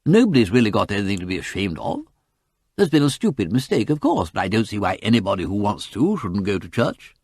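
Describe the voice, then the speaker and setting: A man voicing a kindly colonel's wife who speaks gently and reassuringly. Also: fairly low pitch, big pitch swings, quick pace, slightly rough, no room sound, studio quality, full character voice.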